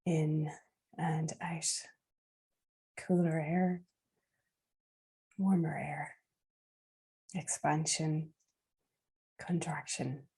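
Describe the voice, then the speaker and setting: A woman leading a guided meditation in a soft, breathy, soothing voice, leaving long unhurried pauses. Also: deep voice, fairly even intonation.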